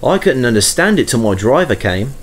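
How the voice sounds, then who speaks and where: A man's voice: medium pitch, very animated, fairly quick. A man voicing a friendly vintage steam engine, chatty and earnest, in a storybook. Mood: earnest recounting.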